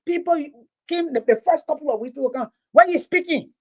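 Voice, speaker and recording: Liberian woman, somewhat high voice, emphatic, passionate public talk, teacher. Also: brisk. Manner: emphatic, passionate, repeats words. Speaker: teacher and former radio host.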